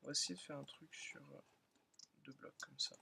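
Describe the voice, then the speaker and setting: A young man who is still in school, slightly hoarse, rambling and thinking aloud with many fillers as he comments on his own gameplay. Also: a little high, fairly even intonation, normal speed, a little hiss or hum. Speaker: gaming channel host. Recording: loud keyboard and mouse clicking.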